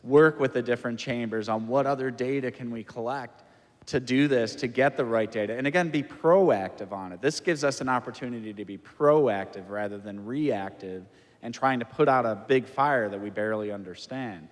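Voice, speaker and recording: A man on a city council, explaining a proposal at a public meeting in a measured, explanatory way. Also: mid-range voice. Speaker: council member. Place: council chamber. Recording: long reverb of a council chamber.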